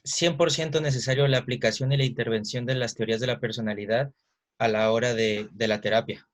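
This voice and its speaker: A man's voice: medium pitch, steady tone, fairly quick. A man interviewing a psychologist, giving short acknowledgements and asking questions.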